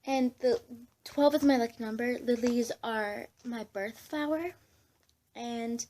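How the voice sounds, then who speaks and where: A woman's voice: high voice, dark tone, a little hiss or hum. A young woman chatting casually to camera as a vlogger, in a scattered, giggly way, often interrupting herself. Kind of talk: casual rambling chat to camera.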